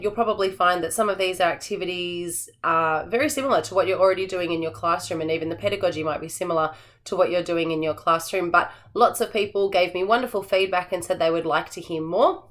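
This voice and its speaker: An Australian woman with a slightly low, croaky voice walks through classroom routines in a practical, chatty way. She sounds tired, with a hoarse edge left from a long day of talking. Her delivery is casual and full of small fillers. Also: fairly bright tone. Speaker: assistant principal and teacher. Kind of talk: teaching tips video, casual explanation.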